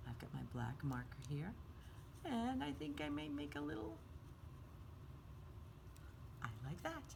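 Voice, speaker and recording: A woman's voice: deep voice, very animated, unhurried, creaky, background noise. A woman hosting a children's storytime craft, explaining each step in simple, sing-song, child-directed language.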